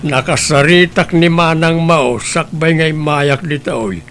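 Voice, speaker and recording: A man voicing a stern elderly father who reproaches his grown daughter, speaking slowly and weightily in a family radio drama. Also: on the high side, a bit bright, a little hiss or hum. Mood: concerned. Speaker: voice actor.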